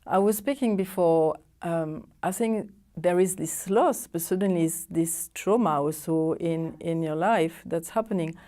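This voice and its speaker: Woman TV host, dark mellow tone, gentle and reflective, murmurs along. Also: fairly low pitch, unhurried, few pauses. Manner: gentle with reflective imagery and frequent soft "mm-hmm". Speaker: TV talk-show host. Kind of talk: TV interview.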